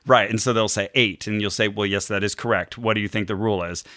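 A man with a fairly low but bright voice chats casually as a podcast co-host. The sound is close and very dry. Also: fairly even intonation, quick pace, dry recording. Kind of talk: casual chat.

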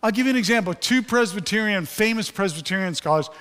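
A man's voice: high voice, lively intonation, fast, fairly bright tone. A male pastor preaching, his voice weakened after a stroke, candid and joking with the congregation. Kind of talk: sermon. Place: church sanctuary. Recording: long hall reverb.

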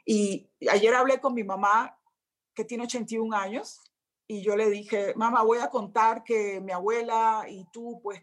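Measured woman speaking on a panel. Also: medium pitch. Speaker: panelist, former minister. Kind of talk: panel discussion remarks.

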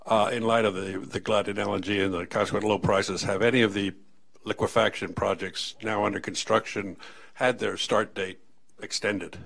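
Low raspy guy asking a question from the crowd, lots of uhs. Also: little pitch movement, fairly bright tone. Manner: halting, many uh pauses. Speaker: audience questioner.

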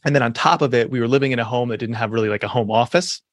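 Man, thirties, fast talker, mid pitch, emphatic, conversational interview guest, dry close sound. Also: dry recording. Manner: fast, emphatic, lots of restarts and "like". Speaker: podcast guest, author and speaker.

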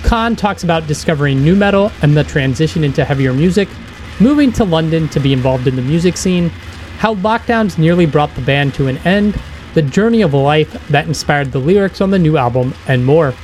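A man's voice: on the high side, lively intonation, fairly quick, darkish tone, a little hiss or hum, low-quality recording. A man with an American accent, speaking as a podcast host and interviewer. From United States. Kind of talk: podcast narration and casual interview.

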